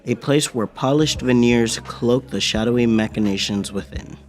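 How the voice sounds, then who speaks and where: With music underneath, a young man reads dramatic mystery narration. His pitch is average for a man, and his phrases fall away at the end. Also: normal speed. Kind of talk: scripted radio mystery narration.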